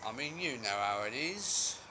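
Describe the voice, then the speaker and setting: A man's voice: mid-range voice, lively intonation, measured pace, sharp tone. A man playing a cheeky working-class husband, indignant and wheedling in a colloquial stage monologue. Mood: defensive, wheedling. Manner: wheedling, indignant, self-justifying. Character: cheeky, aggrieved working-class husband making flimsy excuses. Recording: amateur home video with background noise.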